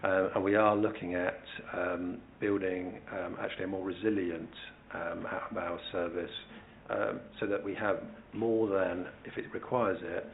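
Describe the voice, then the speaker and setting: A man with a very deep, creaky voice gives formal, hesitant answers as a council officer. His delivery is measured and barely varies in pitch, explaining things step by step at a committee meeting. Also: steady tone, narrow, tinny audio, a little hiss or hum.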